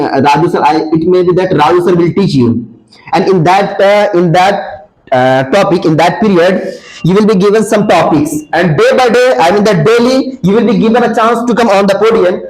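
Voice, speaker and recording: A young man from India speaks English with an Indian accent, his voice sitting high. He gives earnest advice to fellow students in a classroom, filling pauses with "uh" and often starting his sentences over. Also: darkish tone, low-quality recording. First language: Hindi. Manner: earnest advice with many fillers and restarts. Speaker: senior student. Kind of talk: answering audience questions.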